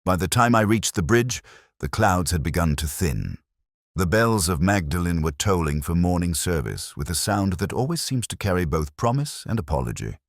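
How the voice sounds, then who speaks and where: A man playing a melancholy, reflective police detective, narrating an audio drama in a measured, literary manner. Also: deep voice, sing-song, no echo, studio quality, heavily acted. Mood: pensive. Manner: measured, literary, brooding delivery. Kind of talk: scripted first-person audio drama narration.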